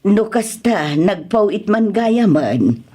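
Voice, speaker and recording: A man plays a doting older mother in a radio drama. The voice is rough and husky, and the manner is fond but nagging, like a parent fussing over grown children. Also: high voice, big pitch swings, slow, warm tone, exaggerated voice. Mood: fond, inquiring.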